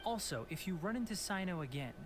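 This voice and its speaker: An earnest, worried young scholar who speaks politely and carefully. His voice sits at a middle pitch. Clear noise runs under the game audio. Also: very animated, fast. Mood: concerned.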